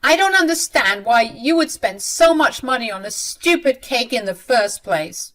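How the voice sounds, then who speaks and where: A woman voicing a scheming older mother-in-law who sounds shrill, irritated and defensive. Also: lively intonation, normal speed, fairly bright tone. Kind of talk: storybook narration.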